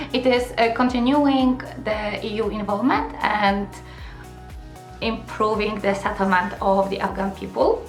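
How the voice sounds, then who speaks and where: Young woman vlogging in a roomy space. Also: medium pitch. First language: Polish. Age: young adult. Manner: earnest, fillers, addresses viewers with questions. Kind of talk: casual explainer vlog to camera.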